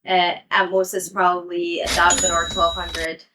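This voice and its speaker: A young woman, an English teacher, talking casually in an interview with many ums and soft laughs while walking around her apartment building. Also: lowish voice, level tone, moderate pace, background noise. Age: young adult. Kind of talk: casual interview and home tour.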